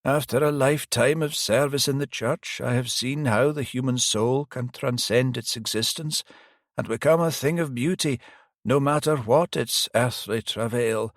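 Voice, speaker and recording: A man puts on an elderly priest with a gentle, grieving manner, lifting his voice high above his own. The old cleric speaks slowly and softly, weighing each word. The recording is dry and close, with no room sound. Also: no room sound, natural voice. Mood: sorrowful. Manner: soft, slow and sighing, higher than the narrator.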